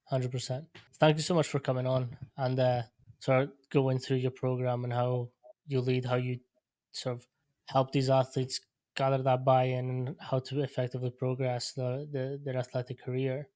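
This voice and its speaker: Male podcast host, middle pitch, flat delivery, dry close sound, casual and chatty. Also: normal speed, no echo. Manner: relaxed, leans on "like" and "sort of".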